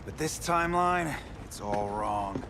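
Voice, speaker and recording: A man's voice: on the low side, expressive, unhurried, background noise. An actor playing a bitter, taunting male speedster villain from the future in a video game cutscene. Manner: bitter, taunting, bursts into shouting. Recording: game cutscene with loud score.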